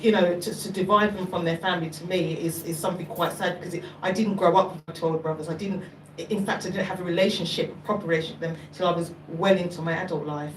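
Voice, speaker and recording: Low-voiced woman panelist, steady and thoughtful, far from the mic with clear background noise. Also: quick pace, hardly any pauses. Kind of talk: open panel discussion. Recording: echoey room, distant mic, noisy.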